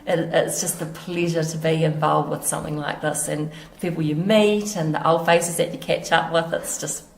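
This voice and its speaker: A middle-aged woman with a New Zealand accent, slightly hoarse, chatting casually in an interview about her quilting, halting and laughing easily. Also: deep voice, fairly even intonation. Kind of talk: casual chat. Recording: echoey room.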